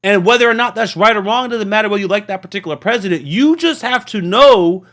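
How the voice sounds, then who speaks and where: Man, reaction host, high voice, preachy, earnest, stammering restarts. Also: lively intonation, quick pace, a bit bright. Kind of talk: casual rambling monologue.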